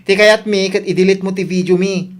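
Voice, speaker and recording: A woman's voice: mid-range voice, steady tone, fairly quick, lightly acted. A woman acting an anxious, aggrieved character who complains fretfully in an Ilocano radio comedy drama. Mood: anxious, insistent. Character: anxious, aggrieved woman worried about her reputation.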